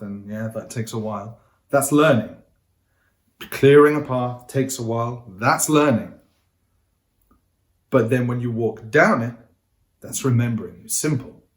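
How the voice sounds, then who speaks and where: A man giving an energetic, interactive lesson, coaxing listeners to join in and speaking to them directly. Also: mid-range voice. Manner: upbeat, coaxing, addresses viewers directly. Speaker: teacher. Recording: roomy echo.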